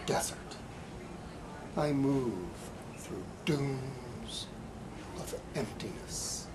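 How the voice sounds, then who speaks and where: A man giving a live poetry reading in a bookstore, with halting, deliberate delivery, in an amateur recording. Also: on the high side, noisy background. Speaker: poet. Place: bookstore reading event, indoors.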